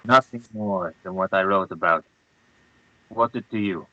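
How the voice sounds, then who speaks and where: A man's voice: sits low, unhurried, bright, forceful tone, no room sound, lo-fi sound, heavily acted. A man playing a curt, grave Guatemalan newspaperman in his thirties at a screenplay table read. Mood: curt, dismissive.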